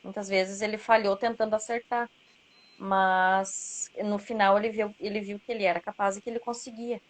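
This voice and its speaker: A woman's voice: mid-range voice, level tone, moderate pace. A woman author talking casually about her book, reflecting aloud and closing her points with tag questions.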